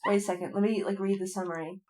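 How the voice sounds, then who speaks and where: A woman's voice: medium pitch, fairly even intonation, fast, a little mellow. A woman co-hosting a podcast in casual fandom chat, rambling along and repeating words for emphasis. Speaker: podcast co-host.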